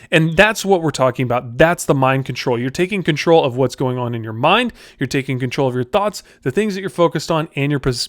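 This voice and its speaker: A man's voice: a little high, fairly bright tone, no echo, studio quality. A man hosting a podcast, giving a casual motivational talk straight to the viewer in an informal, direct, confiding way. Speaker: podcast host.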